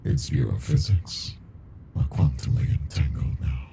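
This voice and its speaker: Man voicing an abyssally deep gravelly alien monster. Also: warm tone, slight background noise, heavily acted. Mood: smug, infatuated. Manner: slow, brooding, deliberate, intimate. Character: captive alien monster, ancient, possessive, smitten with a human. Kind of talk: ASMR roleplay.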